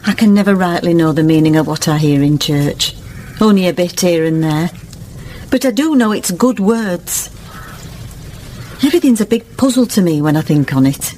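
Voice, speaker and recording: A woman's voice: lowish voice, animated, moderate pace, warm tone, a little hiss or hum, natural voice. An actress playing a kindly, pious village woman, homely and earnest, in a period radio drama. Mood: puzzled, earnest.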